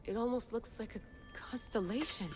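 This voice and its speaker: A woman playing a confident, determined young assassin researcher in a game cutscene, brisk and casual. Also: a little high, over music, lightly acted. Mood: excited. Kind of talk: video game cutscene acting.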